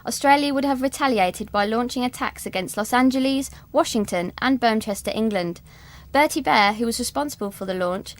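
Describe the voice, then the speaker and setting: A woman's voice: fairly high pitch, natural voice. A woman playing a composed, formal television news reader delivering a scripted bulletin in a radio spoof.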